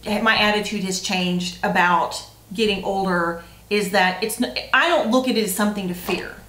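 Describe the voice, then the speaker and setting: Roomy echo, woman, forties, mid-pitched, casual co-host chat, frank, emphatic. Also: normal speed, fairly bright tone. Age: 40s. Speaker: vlog co-host reading questions. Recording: roomy, echoey sound.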